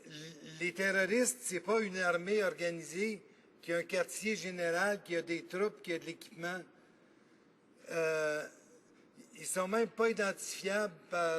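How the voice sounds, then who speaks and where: High-voiced Quebec French man in debate. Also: a bit bright, slight background noise. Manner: earnest, argumentative, thinking aloud with frequent pauses. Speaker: member of parliament. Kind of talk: parliamentary debate speech. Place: legislative chamber.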